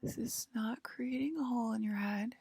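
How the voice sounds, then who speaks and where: A woman whispering softly and soothingly in an improvised ASMR sci-fi roleplay, playing a calm alien abductor tending to a patient. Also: a little high, dry recording. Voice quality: breathy whisper. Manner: soft, slow, soothing. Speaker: ASMR performer. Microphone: close microphone, dry.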